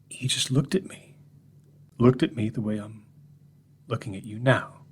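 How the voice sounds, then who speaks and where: A man voices a haunted, bitter man confiding in a room of gentlemen. His husky voice jumps from sharp outbursts to breathy near-whispers. He sounds agitated and unsettled throughout. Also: mid-range voice. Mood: uneasy. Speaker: narrator. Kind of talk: first-person horror story reading.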